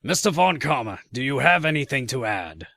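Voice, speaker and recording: A man playing a pompous old courtroom judge with a gravelly, formal delivery in an audio drama. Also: medium pitch, big pitch swings, normal speed, pushed and bright, no echo, heavily acted. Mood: bemused, ruling. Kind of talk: fan audio drama voice acting.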